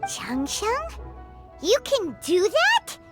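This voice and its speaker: A woman voicing a tiny, childlike, blunt fairy companion in a video game, squeaky and exclamatory. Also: wildly swooping pitch, extremely slow, loud music behind the voice, full character voice. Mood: astonished. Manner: squeaky, exclamatory, third-person speech.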